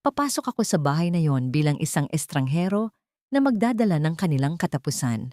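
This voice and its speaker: A brooding, vengeful billionaire man in an audio drama, acted out by a woman narrator. The speech is controlled, cold and deliberate, pitched slightly low for a female voice, in a dry recording. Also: big pitch swings, warm tone, no echo. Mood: cold, commanding.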